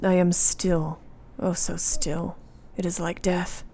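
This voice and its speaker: An actress playing an earnest, brave young gentlewoman in a radio play, with formal period phrasing. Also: fairly low pitch, level tone, slow. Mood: entranced. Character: earnest, brave young married gentlewoman under a vampire's curse.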